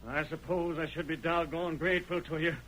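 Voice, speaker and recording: An actor playing a wary, indignant escaped prisoner in a radio western, plain-spoken, with an American Western accent. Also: male voice, fairly high pitch, steady tone, a little fast, a bit bright. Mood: aggrieved. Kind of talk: 1940s radio western acting. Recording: noisy old radio recording.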